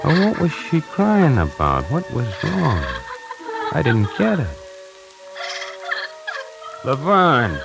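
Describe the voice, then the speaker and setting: A man's voice: a little high, huge pitch swings, slow pace, vocal fry, long pauses, full character voice, poor audio. An actor playing a wisecracking necktie salesman who narrates his own story in a 1940s radio drama, hardboiled and slangy. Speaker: narrator and lead character. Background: music.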